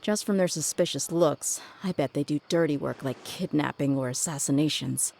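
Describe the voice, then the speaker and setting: A woman's voice: low voice, normal speed, vocal fry, darkish tone, slight background noise. A woman playing a tough, streetwise young mercenary woman in an anime game, blunt and casual. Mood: suspicious. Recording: faint music behind the voice.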